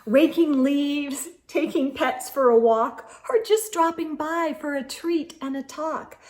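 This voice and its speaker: High-pitched woman reading a children's story. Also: takes it slowly. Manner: animated, emphatic, addressing young listeners directly. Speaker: children's storytime host reading picture books aloud. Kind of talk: expressive read-aloud storytelling for children.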